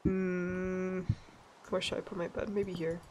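A young woman from Sweden with a stuffy, nasal voice, chatting casually and laughing as she narrates a relaxed gameplay session. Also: fairly low pitch, slow, a little hiss or hum. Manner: chatty, rambling, laughs often. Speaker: let's play gamer.

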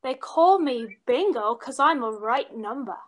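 Brash young chorus girl, squeaky-high voice, lovesick wisecracker, old-time radio comedy. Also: expressive, unhurried, a bit bright, full character voice. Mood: brash, defiant. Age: young adult. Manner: slangy, melodramatic tough-girl talk. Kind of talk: 1940s radio comedy acting. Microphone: seated before camera on video call.

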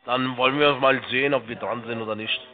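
A man's voice: mid-range voice, fairly even intonation, fairly quick. Male call center agent on a phone line. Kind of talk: informal phone sales conversation. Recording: band-limited phone-call audio.

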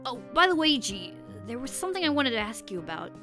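A woman voicing a caring, earnest penguin secret agent in a cartoon game story, speaking gently and casually. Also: on the high side, big pitch swings, fast talker, music in the background. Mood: warm, casual.

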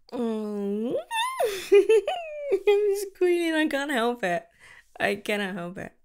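Woman for an ASMR girlfriend roleplay: affectionate, gushing, slips into whispers, slight vocal fry. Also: sits high, big pitch swings, very slow, pauses often, darkish tone.

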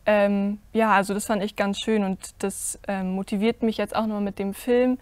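A teenage girl on a stage panel, answering earnestly as a cast member, with many pauses and fillers. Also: medium pitch, level tone, darkish tone, clean tone, no room sound. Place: stage with curtain, indoors.

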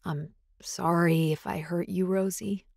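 A woman voicing a young male alpha werewolf who speaks softly and apologetically, with halting restarts, in an audiobook. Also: fairly low pitch, steady tone, creaky, dry recording, clean studio sound. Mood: tender. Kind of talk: audiobook character voice.

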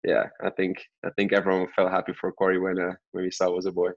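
A man's voice: low voice, completely flat delivery, fast talker, creaky. A man in his twenties from Belgium giving casual interview answers as a soccer player, often hedging with "you know" and "I think". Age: 20s. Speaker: professional soccer player, podcast guest. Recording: echoey room, dull lo-fi sound.

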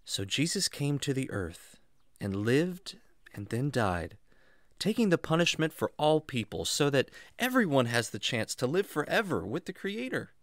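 Give me a man playing a lively, kindly jungle storyteller. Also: medium pitch, normal speed, dry recording, clean studio sound. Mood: earnest. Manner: expressive, reassuring, explanatory. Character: kindly, enthusiastic jungle man Jack, patient storytelling teacher. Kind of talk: children's radio drama acting.

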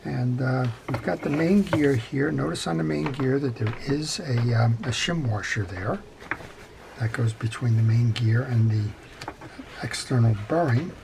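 A man's voice: medium pitch, unhurried, gravelly, noisy background. A middle-aged man giving an unscripted hands-on repair tutorial in a methodical, plain-spoken way at an indoor workbench. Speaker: fishing reel repair instructor.

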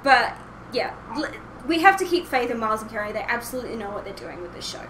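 A woman's voice: on the high side, very animated, fast, fairly bright tone. A young woman podcasting in casual fan chat from a room at home, rambling and hesitant as she goes. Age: young adult. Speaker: podcast host. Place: small home room indoors, online call. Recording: roomy, noisy headset recording.

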